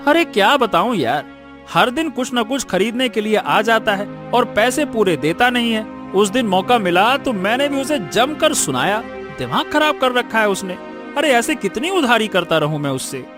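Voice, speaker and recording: A man's voice: high-pitched, sing-song, music in the background. A man playing a greedy, sarcastic, grumbling village shopkeeper in a cartoon. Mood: irritated complaining. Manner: sarcastic, complaining, drawn-out teasing.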